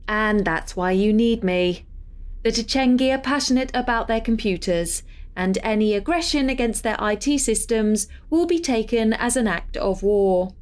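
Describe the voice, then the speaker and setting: A woman's voice: fairly high pitch, animated, normal speed, darkish tone, lightly acted. A woman playing a cheeky, chatty artificial intelligence in a sci-fi audio drama, with a breezy, teasing manner. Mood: matter-of-fact. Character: cheeky, chatty female artificial intelligence hacker sharing a body.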